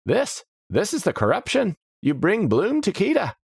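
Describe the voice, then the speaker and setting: A man's voice: sits high, huge pitch swings, normal speed, creaky, slightly rough, dry recording, clean studio sound, pitched above the performer's own voice, heavily acted. A man voicing panicky, indignant court counselors and guards, exclaiming in alarm in a fantasy story. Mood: alarmed, horrified.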